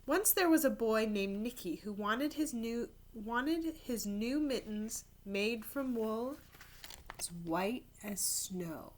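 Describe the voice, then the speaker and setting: A woman teacher reading a picture book aloud to children in an expressive storytelling style, with casual explanatory asides. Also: on the high side, slow pace, a little hiss or hum. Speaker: third grade teacher reading to pupils.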